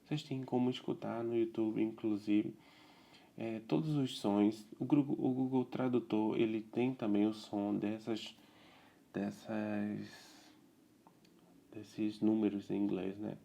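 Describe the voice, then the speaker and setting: Male tutor, dark gravelly tone, easygoing explainer who keeps checking in. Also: lowish voice, takes it slowly, dull audio. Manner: relaxed explaining, checks in with "né?" and "tá certo?". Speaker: self-taught English tutor. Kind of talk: casual video lesson.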